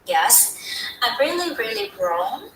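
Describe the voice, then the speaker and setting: A woman's voice: a little high, very animated, slow, a bit bright, echoey room, slight background noise, low-quality recording. A young woman from Vietnam with a Vietnamese accent, giving a heartfelt practice talk in the style of a TED talk, often restarting her phrases. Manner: earnest, heartfelt, with frequent restarts. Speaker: student speaker.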